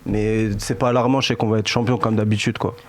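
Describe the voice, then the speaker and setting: A man's voice: on the low side, level tone, fast talker. A man chatting casually and in slang as a supporter on a football panel.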